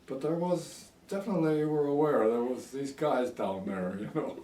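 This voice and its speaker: A man's voice: a little high, normal speed, warm tone. Old american guy in his 80s rambling through memories, bit far from the mic in an echoey room. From United States. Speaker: oral history interviewee. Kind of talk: reminiscing in a casual interview. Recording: echoey room, distant microphone.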